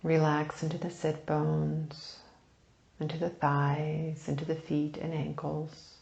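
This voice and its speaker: Slow, low-voiced woman guiding meditation. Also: monotone, creaky, roomy sound, highs cut off. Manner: soft, soothing, unhurried instruction with long pauses. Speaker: meditation teacher. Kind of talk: guided meditation.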